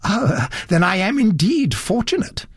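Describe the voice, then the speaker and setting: A dainty, fussy old gentleman with a fluttering, eager manner, as a male reader puts him on. His voice perches high and bounces lively between notes. The sound is close and dry. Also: a little slow, husky, no room sound, full character voice. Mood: delighted. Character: small fussy elderly gentleman, polite and curious.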